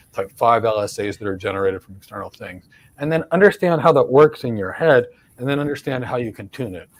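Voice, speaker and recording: A middle-aged man with a Philadelphia accent, hosting a live career Q&A and stressing points by repeating words. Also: medium pitch. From United States. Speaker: host, career mentor. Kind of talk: live Q&A, answering career questions.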